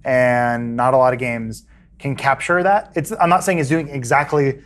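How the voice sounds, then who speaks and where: A man chatting enthusiastically on a podcast, acting out game characters in higher voices. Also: steady tone, normal speed. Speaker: podcast co-host. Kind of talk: casual chat.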